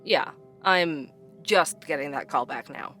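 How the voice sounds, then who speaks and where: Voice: mid-range voice, takes it slowly, pushed and bright, soft background music. An actor playing a dry-witted, persistent podcast host in an audio drama, narrating and interviewing conversationally. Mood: probing, conversational. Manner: wry, conversational.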